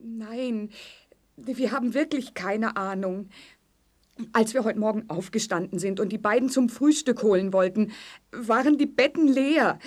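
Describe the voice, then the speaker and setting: A woman's voice: a little high, natural voice. A woman playing a worried mother in a children's audio play.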